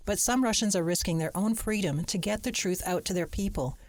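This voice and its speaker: A radio reporter reads a scripted narration in a measured, even way. The recording is dry and close, with no room sound at all. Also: mid-range voice, no room sound.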